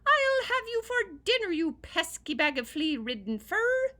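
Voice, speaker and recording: A woman voicing a tiny, sly male leprechaun in a storybook reading, with a high, squealing, loud voice. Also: big pitch swings, a little slow, heavily acted. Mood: frantic.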